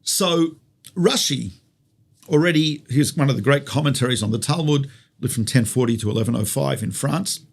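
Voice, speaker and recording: A man's voice: medium pitch, normal speed, gravelly. A man with an Australian accent, a rabbi teaching conversationally through stories and rhetorical questions. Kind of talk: conversational religious teaching with storytelling.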